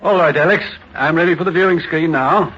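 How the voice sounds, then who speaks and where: A man acting an excited, driven doctor and researcher in a dramatic 1950s radio play style. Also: fairly high pitch, fairly quick, noisy background, close to an everyday voice. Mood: excited, urgent. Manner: dramatic, theatrical. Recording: muffled vintage radio recording.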